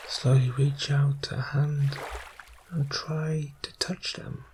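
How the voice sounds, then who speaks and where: A soft-spoken man narrating ASMR guided imagery in a soothing way, pausing between short phrases. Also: mid-range voice, level tone, background noise.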